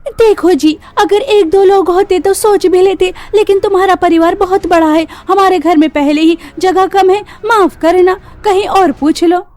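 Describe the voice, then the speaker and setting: A woman's voice: very high voice, fairly even intonation, normal speed, hardly any pauses, darkish tone, voice pushed up. A woman voicing a brusque, unwilling neighbour in a cartoon story, making curt excuses. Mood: dismissive.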